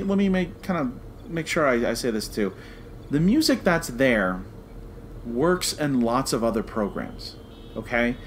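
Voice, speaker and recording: A man's voice: mid-range voice, slight background noise. A man co-hosting a podcast, giving an opinionated review in an emphatic way, repeating phrases to drive points home. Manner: emphatic, repeats phrases for stress, checks with "okay?". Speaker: podcast co-host.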